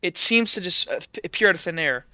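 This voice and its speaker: A man improvising narration as a tabletop game master, halting and casual, swearing freely as he goes. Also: high-pitched, animated, pushed and bright, clear, resonant tone, no room sound, lo-fi sound.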